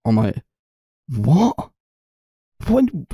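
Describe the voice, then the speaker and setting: A man co-hosting a casual film review chat, rambling through animated retellings with mock quotes and swearing. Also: medium pitch, extremely slow, breathy edge, no room sound, studio quality. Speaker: podcast co-host.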